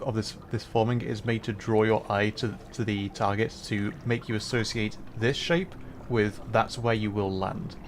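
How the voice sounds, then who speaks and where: A man's voice: lowish voice, background noise. Chatty man streaming a game. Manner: chatty, laughs and hums along. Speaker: VTuber streamer. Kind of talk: casual gameplay commentary.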